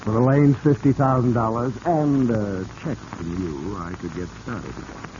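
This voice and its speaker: An actor playing a smooth, insinuating, commanding male blackmailer in a 1940s radio drama. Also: medium pitch, moderate pace, natural voice. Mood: smooth menace. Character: scheming male blackmailer, smooth, menacing, falsely sweet to women. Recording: muffled, noisy vintage 1940s radio recording.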